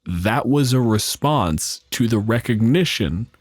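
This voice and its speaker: A man's voice: mid-range voice, expressive, takes it slowly, no room sound. A man on a discussion panel, explaining things in a frank, casual way. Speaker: podcast panelist.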